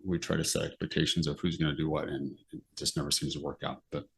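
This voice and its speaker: A middle-aged man with an American accent speaks in a very deep register with plenty of vocal fry. He talks casually, as on a podcast, offering advice. His phrases are short and hesitant as he thinks aloud. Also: level tone, fast talker. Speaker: podcast guest, amateur horse owner.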